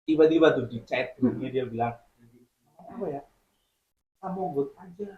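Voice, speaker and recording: A man's voice: mid-range voice, lively intonation, moderate pace. A man from Central Java, a band member guest chatting casually and laughing often. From Central Java, Indonesia. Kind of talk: casual chat. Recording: slightly roomy, muffled radio studio sound.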